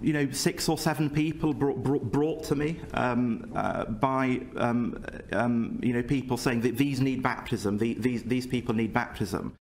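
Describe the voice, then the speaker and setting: Halting man testifying, full of pauses. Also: medium pitch, steady tone, creaky, a little hiss or hum. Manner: halting, full of ums and repetitions. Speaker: witness at a parliamentary committee. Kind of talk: hesitant testimony. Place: committee room.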